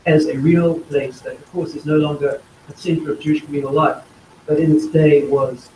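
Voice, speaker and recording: A middle-aged man giving personal opening remarks to welcome people in a lecture hall. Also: on the high side. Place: indoor lecture hall at a podium. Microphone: handheld microphone, recorded by far camera. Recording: distant, echoey room recording with noise.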